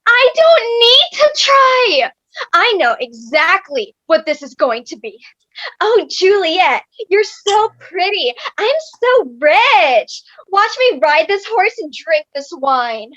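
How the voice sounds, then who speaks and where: A woman's voice: extremely high pitch, very animated, slow pace, pushed and bright, no room sound, full character voice. A woman playing a headstrong, scornful teenage girl refusing to give in, petulant and mocking, in a comic stage play reading. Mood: defiant. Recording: slightly dull, dry close recording.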